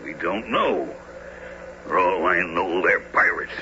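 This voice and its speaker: An actor playing a blunt, combative, hot-headed harpooner in a gruff old-time radio drama style. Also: male voice, deep voice, expressive, takes it slowly. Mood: grim. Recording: noisy, dull lo-fi radio recording.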